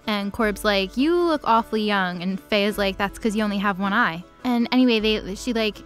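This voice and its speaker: Female comedic co-host, mid-pitched, conversational and slangy, dry sarcastic asides, music underneath. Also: moderate pace, a bit bright. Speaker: co-host recapping a story. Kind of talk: casual comedic storytelling chat.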